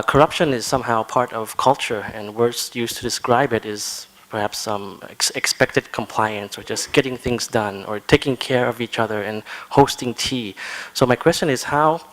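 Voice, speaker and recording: A man stands up in the audience of a conference hall to put a question to a panel. His voice sits at a middle pitch. He speaks hesitantly, stopping and restarting often as he searches for words. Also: fairly bright tone. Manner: hesitant, many fillers and restarts. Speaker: audience member asking a question. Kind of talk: asking a question from the floor.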